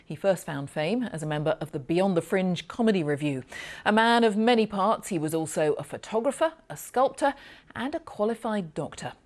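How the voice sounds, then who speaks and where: A woman's voice: mid-range voice, expressive, moderate pace. A British woman presenting the news, reading from a script with formal, emphatic phrasing.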